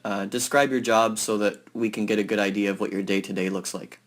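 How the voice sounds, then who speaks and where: A man hosting a podcast, giving a measured, announcer-like welcome and then asking friendly interview questions. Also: lowish voice, fairly even intonation. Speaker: podcast host. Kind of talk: scripted intro then casual interview.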